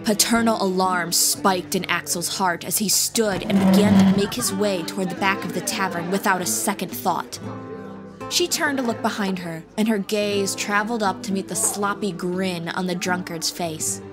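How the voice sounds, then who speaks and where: A woman's voice: medium pitch, normal speed. A woman narrating a scripted fantasy audiobook as a storyteller. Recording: music playing behind.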